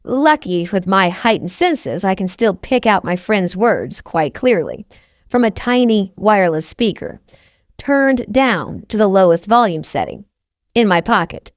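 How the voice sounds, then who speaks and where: A woman's voice: mid-range voice, lively intonation, measured pace, no room sound. Woman narrating a novel, dry close sound. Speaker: audiobook narrator. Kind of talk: first-person scripted novel narration.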